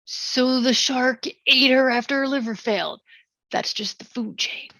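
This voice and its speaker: A woman's voice: sits high, unhurried, sharp tone, dry recording, heavily acted, rough recording. A woman reading a stubborn, dismissive beach-town mayor in a musical comedy table read, glib and insistent.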